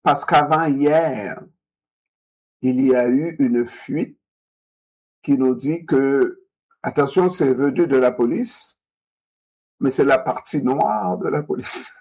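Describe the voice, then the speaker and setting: A man in a discussion, talking in an animated way, repeating himself and asking rhetorical questions. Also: medium pitch, slow, long pauses, breathy edge, poor audio.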